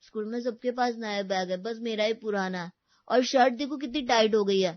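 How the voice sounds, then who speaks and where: A woman's voice: a little high, moderate pace, dry recording, muffled recording, natural voice. A woman voicing a young child in a family story, pleading and whining for new things.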